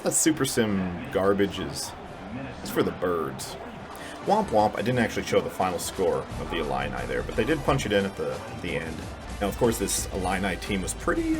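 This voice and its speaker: A man giving casual, mumbly, self-deprecating commentary while playing a video game. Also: low-pitched. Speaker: gaming channel host. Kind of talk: casual let's-play commentary.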